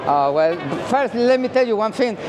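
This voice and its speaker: A man's voice: high-pitched, lively intonation, moderate pace, music in the background. A man with a Mexican accent, a native Spanish speaker, talking casually and emphatically, repeating himself, in a busy market. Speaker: interviewee. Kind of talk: casual, unscripted talk. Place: busy London food market. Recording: loud market crowd and noise.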